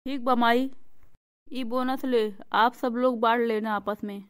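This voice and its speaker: Woman voicing an earnest young cartoon son, clear and high. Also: steady tone, a little slow, lightly acted. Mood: assertive. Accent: eastern Hindi belt (Awadhi-Bhojpuri). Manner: earnest, argumentative. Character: principled young son standing up for the poor. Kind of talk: amateur cartoon voice acting.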